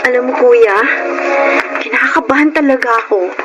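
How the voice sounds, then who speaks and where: An actress playing a worried, disapproving young adult daughter in a Filipino radio drama, anxious and complaining. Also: female voice, high-pitched, moderate pace, over loud music, lightly acted, rough recording. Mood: uneasy, disapproving.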